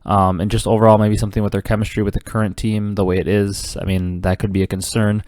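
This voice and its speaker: A young man with a head cold talks quickly through his solo esports podcast, his voice congested and sitting somewhat low. He rambles and fills his gaps with ums and uhs. The sound is close and dry, with no room around it. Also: dry recording. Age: 20s. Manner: rambling, filler-heavy, excited esports talk. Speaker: podcast host. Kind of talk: casual solo podcast commentary.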